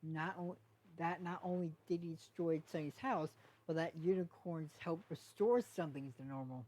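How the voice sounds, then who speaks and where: Amateur female storyteller, low register, halting read of fan fiction narration. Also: mellow, poor audio. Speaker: story reader.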